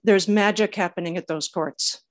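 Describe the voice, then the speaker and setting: A woman's voice: medium pitch, normal speed. An older woman reading a prepared statement at a public meeting, a little nervous. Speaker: public commenter. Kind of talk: prepared speech read aloud at a public meeting.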